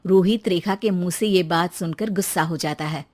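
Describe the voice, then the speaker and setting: A woman's voice: lowish voice, moderate pace, a little mellow. A woman storyteller reading scripted narration for a moral story.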